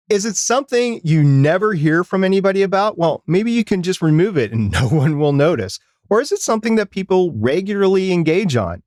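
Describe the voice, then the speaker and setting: A man's voice: fairly high pitch, no echo, clean studio sound. A man hosting a podcast, giving advice in a semi-scripted style. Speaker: podcast host.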